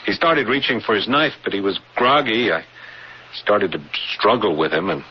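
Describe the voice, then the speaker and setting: An actor in a radio drama playing a haunted man who recounts a frightening boyhood memory in halting, stammering phrases, on a noisy vintage broadcast. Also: lowish voice, big pitch swings, muffled recording. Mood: tense, haunted. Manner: halting, stammering, repeating words.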